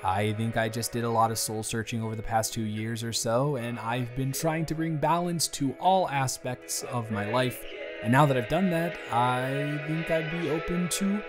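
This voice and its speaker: A man's voice: mid-range voice, normal speed. A man acting in an intimate audio roleplay as an earnest, conflicted boss, talking in a confiding, hesitant, conversational way. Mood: candid, teasing. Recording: music behind the voice.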